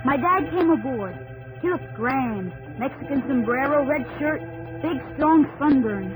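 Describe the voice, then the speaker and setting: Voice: high voice, animated, unhurried, rough recording. An actor playing an earnest young man who narrates his own gold rush story in a 1950s radio drama. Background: music.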